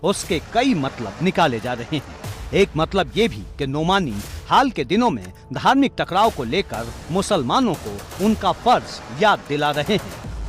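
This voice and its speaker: A man narrating a scripted television news report in a dramatic reporting style. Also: fairly high pitch. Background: music bed.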